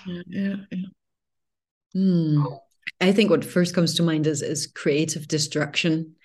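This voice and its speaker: A woman's voice: low voice, fairly even intonation, measured pace, a little mellow. A woman with a Danish accent, speaking as a podcast guest in a reflective, hesitant way. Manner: reflective, hesitant, repeats words. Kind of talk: conversational panel discussion.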